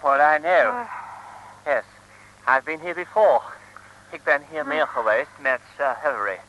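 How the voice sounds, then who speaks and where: A man's voice: on the high side, measured pace, fairly bright tone, slight background noise, rough recording. A man playing a polite, well-travelled companion who drops English phrases in a comic Dutch radio serial. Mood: matter-of-fact.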